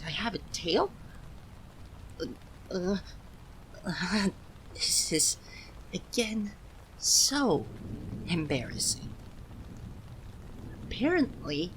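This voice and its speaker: A man voicing a proud, easily flustered young cat-eared son of a nature deity in an ASMR roleplay, speaking haltingly to the listener. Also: high-pitched, a bit bright, slight background noise, exaggerated voice, rough recording. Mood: embarrassed, flustered. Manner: haughty yet flustered, halting.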